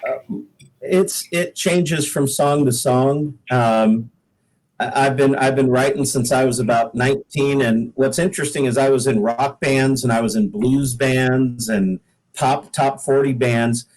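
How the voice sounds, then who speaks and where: A man's voice: medium pitch, fairly even intonation, unhurried, a little mellow, rough recording. A man in his fifties from the Pacific Northwest with an American accent, chatting casually and jokingly as a songwriter guest in an interview. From Pacific Northwest, United States. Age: 50s. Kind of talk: casual podcast interview chat.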